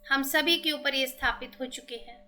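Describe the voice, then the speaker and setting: A woman with an Indian accent hosting a guided meditation, giving gentle, soothing instructions in drawn-out phrases. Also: sits high. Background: meditation music with singing bowl tones.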